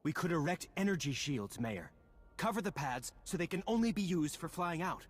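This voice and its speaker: An actor playing a dutiful, earnest aide in a video game, reporting in a factual, deferential way. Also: male voice, on the high side, very animated, normal speed. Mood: helpful. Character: dutiful, matter-of-fact male mayor's aide. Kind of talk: video game character acting.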